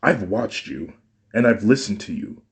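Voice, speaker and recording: Intense accusing young man, read by a man; low voice pushed loud. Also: animated, a little slow. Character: anguished young man confronting his mother. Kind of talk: fan story read aloud.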